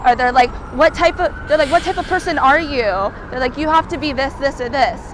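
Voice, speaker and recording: Young woman from california, high voice, talks earnest and candid, faint street noise behind. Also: a little fast. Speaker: interviewee. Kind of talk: earnest interview answers.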